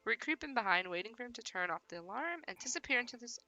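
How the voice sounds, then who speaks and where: A woman with a bright voice streams a story game. She swings widely in pitch as she narrates and drops into giggly, theatrical commentary. Soft music plays behind her. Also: expressive, fast talker. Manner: giggly, teasing, theatrical reactions. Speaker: streamer reading a visual novel aloud. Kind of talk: livestream play-along, narration mixed with excited banter.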